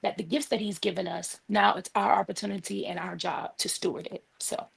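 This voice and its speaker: A woman's voice: medium pitch, brisk, vocal fry, husky. A woman in her forties, a worship leader and singer, talking earnestly in a casual panel chat over a remote call. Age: 40s. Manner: earnest, testimonial, steady.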